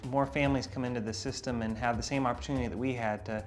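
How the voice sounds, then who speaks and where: A man's voice: medium pitch, steady tone, very fast, soft background music. A man speaking as a family franchise owner in an interview testimonial.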